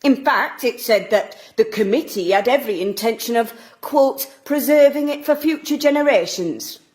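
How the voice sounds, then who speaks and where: A woman's voice: a little high, animated, normal speed, darkish tone, close to an everyday voice. A woman playing a passionate, combative middle-aged local campaigner in an audio drama. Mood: insistent, passionate. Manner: combative, sarcastic, emphatic. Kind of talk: stage-like audio drama acting.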